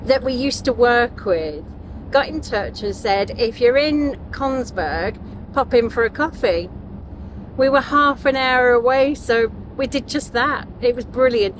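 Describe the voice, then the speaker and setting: A woman's voice: a little high, a little slow. A middle-aged British woman chatting casually as a travel vlogger. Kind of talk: casual vlog chat. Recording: noisy vehicle and outdoor ambience.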